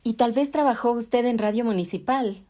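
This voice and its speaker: A woman's voice: on the high side, moderate pace, mellow. A woman hosting an interview, addressing the guest courteously and formally. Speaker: interviewer, host. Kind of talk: polite radio-style interview.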